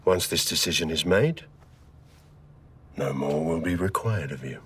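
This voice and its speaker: An actor playing a ruthless, self-assured private military chief in a video game, speaking in measured, emphatic oratory with deliberate pauses. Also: male voice, extremely low pitch, a bit bright, breathy edge, heavily acted. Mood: cold, menacing. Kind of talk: dramatic video game cutscene acting. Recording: music behind the voice.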